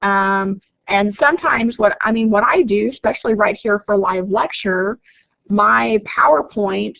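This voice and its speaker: Brief: woman instructor, Oklahoma accent, gravelly voice, chatty lecture over a phone-like line. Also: mid-range voice, unhurried, no echo. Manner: chatty, reads out student comments, laughs easily. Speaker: college instructor. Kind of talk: live online lecture.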